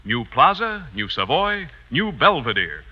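A man delivering an enthusiastic 1950s radio advertising pitch. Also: very animated, measured pace. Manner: enthusiastic selling. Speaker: commercial announcer. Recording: reverberant, muffled vintage radio recording.

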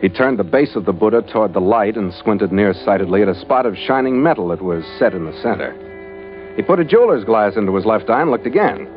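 An actor playing a tough, laconic ship's skipper in an old-time radio adventure drama, terse and hard-boiled. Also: male voice, lowish voice, expressive, fast talker, natural voice. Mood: grim, matter-of-fact. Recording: dull, band-limited old radio recording with music.

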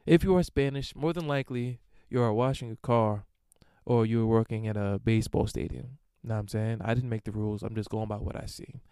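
Smooth, mellow-voiced man riffing crudely. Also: lowish voice, brisk, dry recording, clean studio sound. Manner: crude, irreverent, rambling with frequent fillers. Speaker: podcast host answering listener emails. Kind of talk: casual solo monologue.

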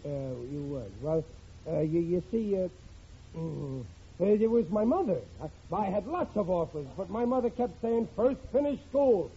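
A man's voice: sits high, expressive, moderate pace, dark tone. An actor playing a boastful, bumbling middle-aged father in an old radio sitcom, blustering and stammering when cornered. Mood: flustered. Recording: muffled, hissy vintage radio recording.

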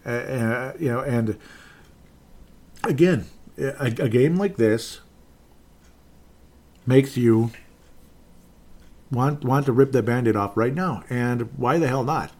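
A man's voice: medium pitch, slow pace, pauses often, a little mellow. A man hosting a casual sports podcast, rambling and correcting himself with wry asides. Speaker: podcast host.

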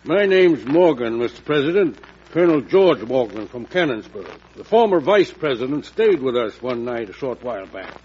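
A man's voice: mid-range voice, very animated, moderate pace, close to an everyday voice. A man playing a plain-spoken, earnest American colonel in a 1940s radio drama, forthright and folksy in his emphasis. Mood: earnest, indignant. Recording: muffled, noisy old radio recording.

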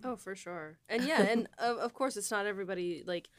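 A woman talking casually as a podcast host and writer, in a halting, conversational way that often restarts thoughts. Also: medium pitch, dry recording. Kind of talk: casual panel chat.

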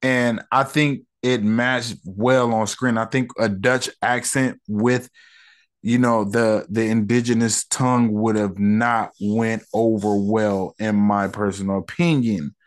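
A man hosting a solo podcast, reviewing an episode in a relaxed, chatty way with slang and lots of fillers. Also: fairly low pitch, slow pace.

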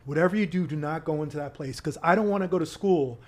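A man's voice: fairly high pitch, fast. A man talking as a podcast guest in casual conversation, emphatic and rising in volume when he makes a point. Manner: emphatic, gets louder when making a point. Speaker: activist guest.

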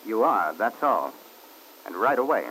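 An actor playing a cold, commanding husband in a 1940s radio drama, mocking and calmly domineering, drawling his endearments. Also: fairly low pitch, measured pace, husky, exaggerated voice, low-quality recording.

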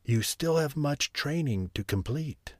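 A man's voice: a little high, expressive, measured pace, dry recording, voice pushed up. Man voicing a stern old sorcerer, commanding, raised voice, dry recording.

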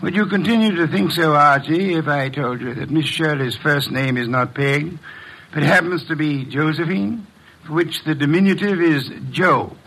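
An actor playing a pompous, exacting middle-aged detective with precise, imperious diction in a classic radio mystery. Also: male voice, a little high, moderate pace, a bit bright, poor audio. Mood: stern, triumphant.

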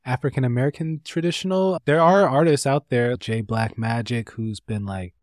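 A man from North Carolina, a guest artist, talking in a relaxed, rambling way with lots of fillers. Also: medium pitch, normal speed, no echo, studio quality. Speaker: interview guest, artist and tattooist. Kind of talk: casual conversation.

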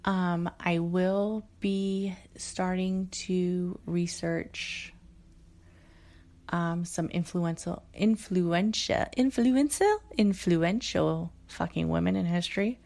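Female solo podcast host, low voice with vocal fry, unhurried, profane and earnest. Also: a little mellow. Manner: profane, frequent fillers and restarts. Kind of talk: casual solo podcast monologue.

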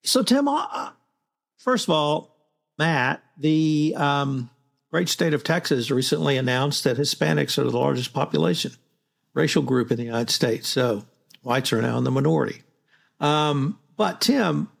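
A man's voice: a little high, vocal fry. A man co-hosting a podcast, asking long, chatty questions and steering the conversation between guests. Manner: chatty, leading questions, frequent fillers. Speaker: podcast co-host.

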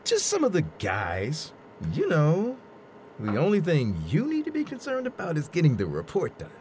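High, swooping cocky office bro. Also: male voice, huge pitch swings, normal speed, darkish tone, slight background noise. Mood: cocky, patronising. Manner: swaggering, jeering banter. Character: cocky, bullying male office bro, mocking his colleague.

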